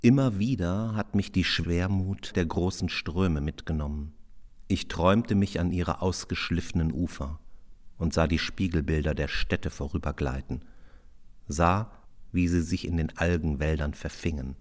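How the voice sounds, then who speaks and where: A man reciting literary poetry in a measured way, pausing between phrases. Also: low-pitched, darkish tone. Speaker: poetry reader.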